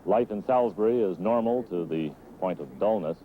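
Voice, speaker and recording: A man's voice: sits low, expressive, normal speed, phone-quality sound. A man reading a scripted news report as a reporter, on 1970s newsreel film sound.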